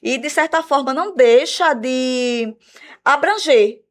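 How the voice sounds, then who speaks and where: A woman with a Brazilian Portuguese accent, an English teacher explaining her school's program in a radio interview, measured and full of "né?" tags. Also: high voice, expressive. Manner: measured, explanatory, repeats words with "né?" fillers.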